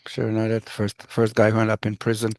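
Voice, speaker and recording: A man's voice: lowish voice, completely flat delivery, a little fast, darkish tone, no room sound. A man teaching as a professor on a video call, talking in a rambling, anecdotal way and often restarting his sentences. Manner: rambling, anecdotal, self-interrupting. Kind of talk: online class introductions.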